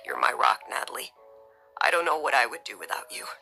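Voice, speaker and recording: A woman voicing a protective, loving young father in a soft, breathy voice thick with emotion, in an audio story. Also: low voice, fairly quick, soft background music, pitched below the performer's own voice, full character voice. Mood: tender, relieved.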